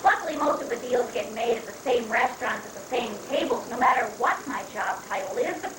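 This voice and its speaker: A woman playing a muddled, bumbling government secretary, halting and flustered, in an amateur reading of a stage satire. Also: on the high side, natural voice. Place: room at a live library reading. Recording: echoey, distant, noisy room recording.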